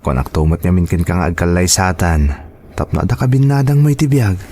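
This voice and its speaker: A man's voice: deep voice, expressive, a little fast, dark tone. A man playing an earnest, devoted young boyfriend in an audio drama, with a Filipino accent. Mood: earnest, pleading.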